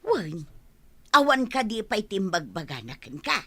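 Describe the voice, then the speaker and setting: An actress plays an older village woman with an Ilocano accent. She is a gossip and a tease, with a bright voice that leaps about in pitch and exclaims often, as in a radio-style play. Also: sing-song, a little slow, slightly rough, full character voice. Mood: teasing. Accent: Filipino, Ilocano. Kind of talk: audio drama acting.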